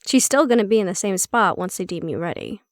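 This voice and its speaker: A woman playing a prickly but secretly caring handler in an intimate fantasy audio roleplay, speaking in a wry, measured, matter-of-fact way. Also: medium pitch, sing-song, no room sound, clean studio sound. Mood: dry, needling.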